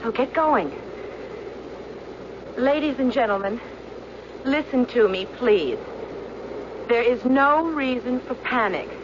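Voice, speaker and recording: An actress in a radio drama playing a calm, commanding stewardess who takes charge in an emergency. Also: female voice, fairly high pitch, slow, long pauses. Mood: brisk, controlled. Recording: dull, noisy old radio drama recording. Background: aircraft cabin drone.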